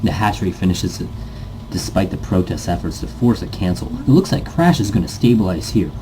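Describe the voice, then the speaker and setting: A man's voice: deep voice, animated, a little fast, noisy background. A man reading visual novel narration aloud dramatically, stumbling now and then and rereading phrases. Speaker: reader, host of a let's read series.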